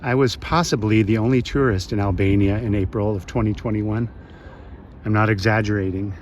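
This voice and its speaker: A man in his late fifties from the west coast of the United States, narrating a travel vlog in a measured, reflective way. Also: on the low side, fairly even intonation, a little hiss or hum. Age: 50s. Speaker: travel vlogger. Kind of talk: scripted voice-over narration.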